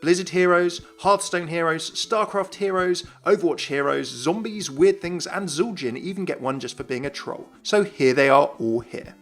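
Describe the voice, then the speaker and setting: A man's voice: fairly high pitch, moderate pace, few pauses, a bit bright, faint music behind. A man narrating a scripted gaming video as its presenter.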